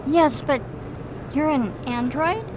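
A woman playing a caring, earnest android detective's partner in an audio drama, gentle, measured and sincere. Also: high-pitched, noisy background. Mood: curious.

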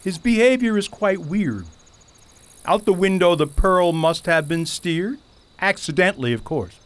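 A man's voice: high voice, expressive, a little slow. A man playing a pompous, foppish goat king in a radio play, with florid, theatrical, wordy speech. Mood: defensive, rhyming.

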